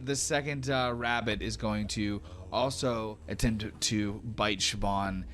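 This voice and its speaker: A man's voice: lowish voice, fairly even intonation, takes it slowly, fairly bright tone. A man narrating a tabletop game as game master, in a casual, descriptive way that mixes storytelling with rules talk. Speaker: game master of a tabletop role-playing podcast.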